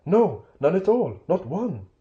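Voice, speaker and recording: A timid little hobbit in a children's tale, performed by a man, pitched high and anxious. He is polite and pleading, tripping over his words in fright. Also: big pitch swings, moderate pace, phone-quality sound. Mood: flustered.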